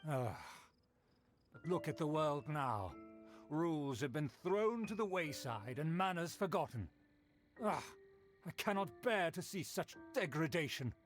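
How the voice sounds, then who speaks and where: A man's voice: on the high side, sing-song, measured pace, soft background music. An actor voicing a haughty aristocratic nobleman in a video game, pompous and condescending as he lectures commoners on manners.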